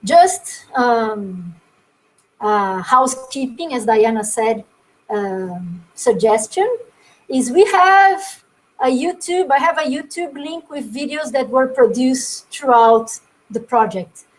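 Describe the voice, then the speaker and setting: A woman with a fairly high voice presents her own work in a webinar. She speaks in a reflective, halting way and often repeats a word before she finds the rest of the sentence. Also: animated, poor audio. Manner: thoughtful, halting, repeats words while searching.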